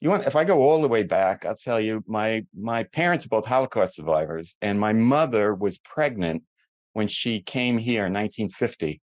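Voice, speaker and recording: A man's voice: lowish voice, lively intonation, normal speed, dry recording. A man in his seventies with a New York accent, chatting casually and rambling a little as a podcast guest. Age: 70s. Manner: chatty, rambling, self-deprecating humor. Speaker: guest orthodontist.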